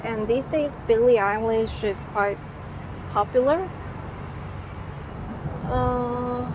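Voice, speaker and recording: A young woman with a Korean accent, answering a class's questions in a hesitant, casual way with many fillers and restarts. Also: medium pitch. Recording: noisy outdoor recording with traffic.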